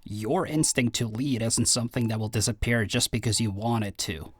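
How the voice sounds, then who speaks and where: A man's voice: mid-range voice, moderate pace, slightly rough, close to an everyday voice. A man acting in an audio roleplay as an earnest, insistent brotherly friend, talking in a persuasive, conversational way.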